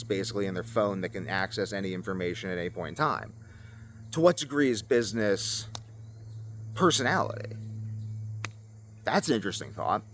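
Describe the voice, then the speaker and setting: A man's voice: on the low side, a bit bright, slight background noise. A man vlogger talking off the cuff in a casual monologue, rambling as he thinks aloud. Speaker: YouTube vlogger.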